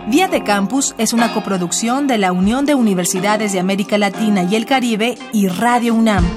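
A woman radio announcer reading credits and introductions in a formal, deliberate way. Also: medium pitch, music in the background. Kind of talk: scripted announcing.

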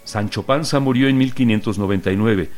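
Low-voiced man reading a story. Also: soft background music. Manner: calm, measured storytelling. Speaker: narrator. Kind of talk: scripted literary reading.

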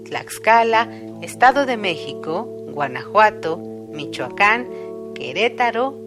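A woman reading a scripted educational segment in a measured, informative way. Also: mid-range voice, sing-song. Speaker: segment narrator. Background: guitar music.

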